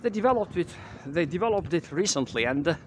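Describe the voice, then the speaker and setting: A man's voice: on the high side, animated, fast talker. Man narrating a street walk, hesitant. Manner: hesitant, many fillers and restarts. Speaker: walking tour guide. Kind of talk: casual walking tour narration. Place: quiet residential street outdoors. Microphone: handheld camera mic while walking. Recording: clear outdoor street noise.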